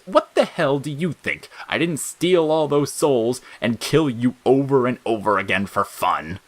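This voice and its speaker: A man's voice: medium pitch, measured pace, pitched above the performer's own voice, natural voice. Man voicing a sneering, spiteful little flower monster. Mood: angry, sneering. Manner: sarcastic, mocking, snappish. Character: sneering bitter talking flower, spiteful bored male monster. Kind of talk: audio fiction character acting.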